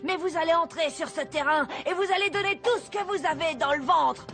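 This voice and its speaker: A woman's voice: extremely high pitch, brisk. A woman voicing a resourceful young boy in a cartoon, rallying his teammates with bossy, rousing pep talk. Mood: rousing, solemn. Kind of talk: French animated series voice acting. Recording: music under the voice. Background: loud background music.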